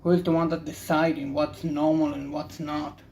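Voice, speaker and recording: A young man with an Israeli accent, a native Hebrew speaker, rambling candidly and haltingly as he hosts a casual video. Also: on the high side, level tone, darkish tone, slight background noise. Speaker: card-opening video host. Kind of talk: casual rambling commentary while opening cards.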